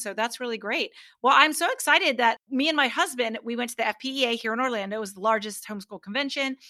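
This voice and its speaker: A woman's voice: fairly high pitch, bright, forceful tone. A middle-aged woman hosting a casual interview, affirming and recapping what she hears. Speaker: podcast host.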